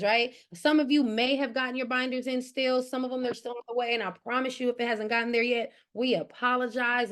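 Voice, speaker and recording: A woman facilitating a group training as an organizer, exhorting listeners and often checking that they are following. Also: mid-range voice. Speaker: organizer hosting a training session.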